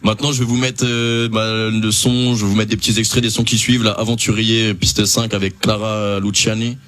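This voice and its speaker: A man's voice: on the low side, level tone, normal speed, fairly bright tone. Hesitant young male radio host. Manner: hesitant, many fillers and restarts. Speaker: radio host presenting an album. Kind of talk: casual radio chat.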